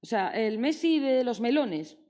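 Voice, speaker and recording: A woman's voice: on the high side, lively intonation, a little slow, mellow. A woman with an accent from Spain moderating a live panel in an informal, conversational way, explaining with examples. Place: indoor public event hall.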